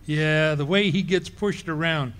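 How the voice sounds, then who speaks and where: An actor playing a boastful, blustering middle-aged husband in a live radio play, who cracks jokes and stammers when cornered. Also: male voice, a little high, unhurried, fairly bright tone.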